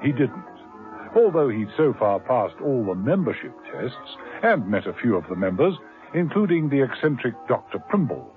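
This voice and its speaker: Casting: a male narrator for a vintage spy radio serial, animated delivery, over score. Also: medium pitch, normal speed. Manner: lively storytelling emphasis. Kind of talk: dramatic radio drama narration. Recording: tinny old radio broadcast with music.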